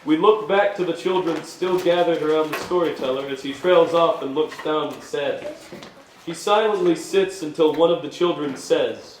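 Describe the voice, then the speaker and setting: Guy reading stage directions, slightly high voice, roomy echo, scripted storytelling. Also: normal speed, a little hiss or hum. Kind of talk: scripted dramatic narration. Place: indoor stage at an arts venue. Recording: echoey stage room.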